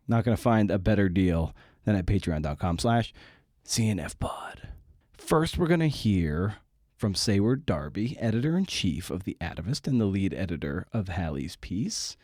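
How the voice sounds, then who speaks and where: A podcast host, a man with a lowish voice recorded very dry. He talks casually and conversationally, with a slangy, irreverent streak. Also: very animated, normal speed, a little mellow, no echo.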